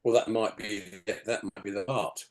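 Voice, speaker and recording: A man in his sixties with a gravelly, slightly hoarse voice, rambling through his story on a video call with many "you know"s. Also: fairly low pitch, steady tone, normal speed, darkish tone. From England. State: hoarse after a detox. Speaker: podcast guest. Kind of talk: casual storytelling interview.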